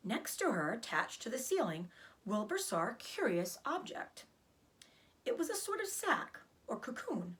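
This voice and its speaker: A woman narrating a children's storybook aloud with expressive, careful phrasing. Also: on the high side, a little slow. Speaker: read-aloud reader, teacher.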